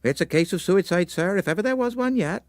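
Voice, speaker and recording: A man's voice: sits high, animated, brisk, no echo, studio quality, voice pushed up. A man voicing a gossipy, alarmed young chemist's apprentice in an audiobook story, confiding and insistent.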